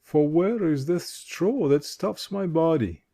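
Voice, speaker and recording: A man's voice: a little high, animated, slow, dark tone, full character voice. A man doing a hollow-voiced straw scarecrow. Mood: mournful. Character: ruined male scarecrow head, hollow and noble. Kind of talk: audiobook character voice.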